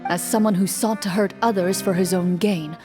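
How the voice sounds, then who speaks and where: A woman voicing a calm, formal female game master who narrates a roleplay in a video game. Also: medium pitch, soft background music, lightly acted. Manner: measured, formal.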